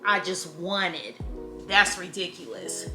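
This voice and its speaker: A woman, talking candidly to camera in a casual motivational vlog. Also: lowish voice. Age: 30s. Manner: candid, chatty, drawn-out emphatic phrases. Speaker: vlogger. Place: room at home. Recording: echoey room with faint music.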